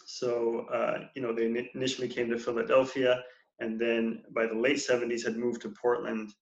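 A man in his forties, a counselor, telling his life story as a guest in a relaxed, meandering way with many fillers. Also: mid-range voice, moderate pace. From United States. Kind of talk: casual interview. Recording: dull, echoey room sound.

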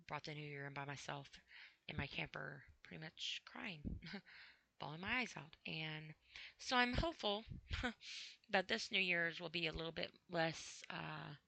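A tired woman podcasting alone, rambling in a halting, apologetic way with many fillers after crying earlier. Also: deep voice, creaky, pushed and bright, dry recording. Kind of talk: casual rambling monologue. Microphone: computer mic with headphones on.

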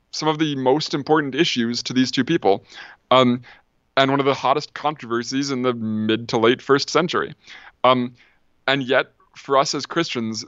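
A man's voice: medium pitch, fairly bright tone, clear, resonant tone, dry recording, muffled recording. A man, an ancient historian, talking as a podcast guest, thinking aloud with lots of ums and restarts. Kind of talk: casual academic discussion.

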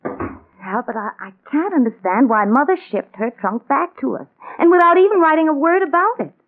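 High-voiced fretful American wife. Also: female voice, expressive, normal speed. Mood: puzzled, insistent. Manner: worried, insistent, plaintive. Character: anxious, fretful wife searching for her missing mother. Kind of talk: 1940s radio drama acting. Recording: tinny narrow-band old radio recording.

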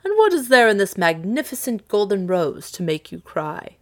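A woman's voice: mid-range voice, sing-song, normal speed. A woman voicing a doting storybook king and father, speaking in a coaxing, old-fashioned, grand way. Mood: puzzled.

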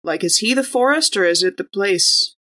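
A woman's voice: mid-range voice, lively intonation, normal speed, pushed and bright. A woman chatting casually and slangily as a player in a tabletop role-playing game.

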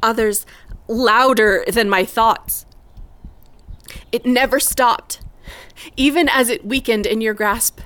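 A woman acting a wronged, resolute middle-aged wife in a poetic audio drama monologue, intense and deliberate. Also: fairly high pitch, steady tone, a bit bright. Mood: wistful, haunted. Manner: slow, deliberate, intense delivery.

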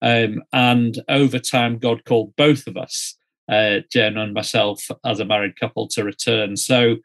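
A middle-aged man from Yorkshire, speaking as an interview guest in a conversational storytelling way with measured, hesitant phrasing. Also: medium pitch, fairly even intonation, a bit bright. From Yorkshire, northern England. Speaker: interview guest, student ministry director.

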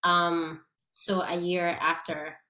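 A woman's voice: lowish voice, flat delivery, slow pace, sharp tone. A young woman with a Puerto Rican accent, telling a story as an interview guest, chatty with many fillers and restarts. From Puerto Rico. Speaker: guest performer. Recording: roomy echo.

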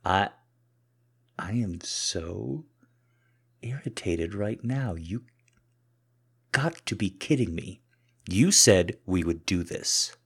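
A man acts out a boyfriend in an intimate audio roleplay, talking straight to his lover. His voice is low and husky, and he takes his words very slowly. The delivery is halting and conversational, as in a private exchange between partners. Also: animated, fairly bright tone, heavily acted. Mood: flustered, irritated, pleading. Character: cocky boyfriend, flustered and pleading, turning softly submissive. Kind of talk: intimate solo audio roleplay addressed to the listener.

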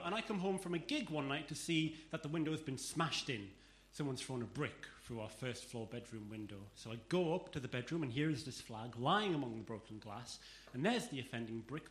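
Bright, wry comic in echoey club. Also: male voice, a little high, lively intonation, fairly quick. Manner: wry, storytelling delivery. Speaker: stand-up comedian. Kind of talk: stand-up set. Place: comedy club stage.

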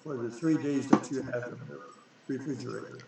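A man's voice: mid-range voice, a little fast, creaky, heavy reverb, recorded from a distance, very noisy. A man in his nineties, a guest being interviewed, talking insistently and repeating himself, often stumbling over his words. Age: 90s. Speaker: guest, sprout grower inventor. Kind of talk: casual interview.

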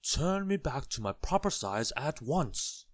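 A man puts on a pompous, ill-tempered storybook grump. He snaps haughtily and stretches his words with scorn, in a low, dry voice. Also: very animated, a bit bright, no room sound. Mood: indignant rage. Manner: haughty, drawn-out snapping. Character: rude, pompous, miserable man, easily enraged. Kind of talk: children's storybook character voice.

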